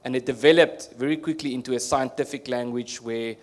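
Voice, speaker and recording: A man's voice: mid-range voice, fairly even intonation, fairly quick. A man from South Africa with an Afrikaans accent, a native Afrikaans speaker, answering as a guest at a panel discussion in an earnest, explanatory way, often restarting his sentences. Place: conference hall.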